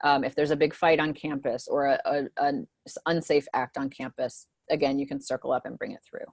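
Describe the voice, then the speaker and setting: A woman's voice: very deep voice, steady tone, fairly quick, a little mellow, no room sound. A woman presenting to teachers on a mentoring video call, talking conversationally with frequent "um" and "right?" asides.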